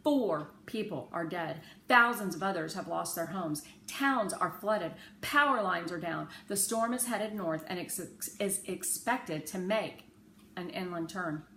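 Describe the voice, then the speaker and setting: Bright-voiced woman reading a children's story. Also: fairly low pitch, expressive, measured pace. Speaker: read-aloud narrator. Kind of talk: children's audiobook-style storytelling. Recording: roomy echo with faint background noise.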